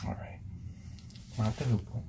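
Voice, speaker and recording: A man playing a friendly, laid-back hippie record store clerk in a soft-spoken ASMR role-play, often breathy and whispering, with hesitant, casual small talk. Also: measured pace, heavy background noise, close to an everyday voice. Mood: relaxed, helpful.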